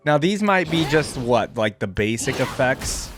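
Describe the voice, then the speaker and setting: A man's voice: mid-range voice, animated, unhurried, soft background music. A man giving casual reaction commentary on video game character skins, thinking aloud as he goes. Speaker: reaction channel host.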